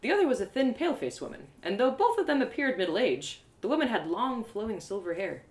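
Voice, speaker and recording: A woman narrating a first-person story live, in an expressive, theatrical storytelling manner. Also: mid-range voice, brisk, roomy sound. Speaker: storyteller, audio drama creator.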